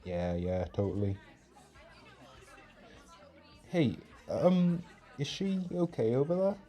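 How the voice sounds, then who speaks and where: A man playing an easygoing, considerate friend at a party in a roleplay audio, chatting in a relaxed, conversational way. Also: mid-range voice, measured pace. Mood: concerned. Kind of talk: casual chat in roleplay audio. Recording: music behind the voice.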